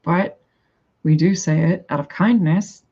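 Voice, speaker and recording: Low-voiced Canadian woman, rambling chat. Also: unhurried, warm tone, husky, muffled recording. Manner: rambling, chatty, thinks aloud and answers chat. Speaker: livestreamer chatting with viewers. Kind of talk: casual live chat.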